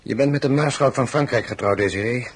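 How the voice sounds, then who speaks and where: Casting: male actor as stern, dutiful soldier-husband, very husky and breathy, grave and terse, radio drama. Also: medium pitch, fast talker, lightly acted. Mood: grim, resolute. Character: stern, proud French marshal and dutiful husband.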